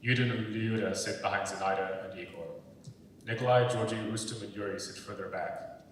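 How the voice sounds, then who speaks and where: Low-voiced male narrator in an echoey hall. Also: steady tone, a little fast. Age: young adult. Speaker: stage direction narrator. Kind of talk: scripted narration. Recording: very echoey hall, distant mic, faint rumbling noise.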